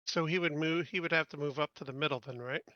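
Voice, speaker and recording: A man's voice: fairly high pitch, fast talker, dry recording, muffled recording. A man playing a tabletop game, chatting casually and giving his choices in a direct, decisive way.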